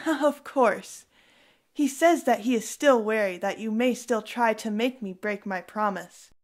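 Lady acting devout roman wife in a radio play, patient teacher type, a bit high pitched. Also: unhurried, close to an everyday voice. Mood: reassuring. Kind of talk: audio drama acting.

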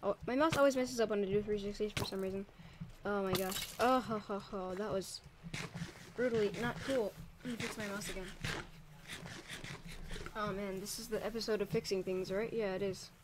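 A man's voice: sits high, steady tone, normal speed, pauses often, noisy background. A young man, probably a teen, casually commentating a Minecraft let's play as server host, rambling and easily startled. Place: home room by a window. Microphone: desk mic, drifts off it.

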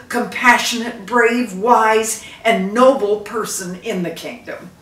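A woman voicing a dying old king, a fond and solemn father in a storybook, speaking emphatically. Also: medium pitch. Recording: roomy echo, distant microphone.

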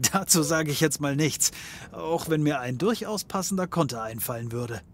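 An actor playing a wry, world-weary occult detective, dry and sardonic, in a comedy audio drama. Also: male voice, a little high, moderate pace, slight background noise, lightly acted.

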